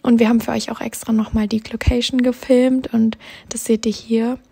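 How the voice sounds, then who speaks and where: Student vlog voice-over: a young woman, mellow and a bit raspy, fairly high, chatty and enthusiastic. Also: flat delivery, moderate pace. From southwestern Germany. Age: 20s. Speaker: student ambassador vlogger. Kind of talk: voice-over narration.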